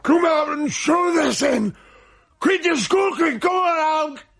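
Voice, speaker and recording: A man's voice: very high-pitched, expressive, slow pace, gravelly, fairly bright tone, voice pushed up, heavily acted. An actor voicing the angry, menacing ghost of an old man in a radio play, bellowing challenges. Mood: threatening.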